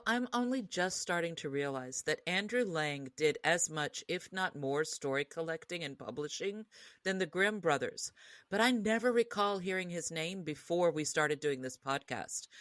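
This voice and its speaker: A woman with a distinctly low voice co-hosts a podcast. She talks in a casual, reflective way, as in a relaxed discussion. The sound is dry and close. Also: normal speed, no room sound. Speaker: podcast co-host.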